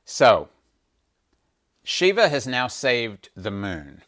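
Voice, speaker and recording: A man telling mythological stories expressively and deliberately, with dramatic pauses. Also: medium pitch, very animated, fairly bright tone. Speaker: storyteller, spiritual teacher. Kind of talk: expressive oral mythological storytelling.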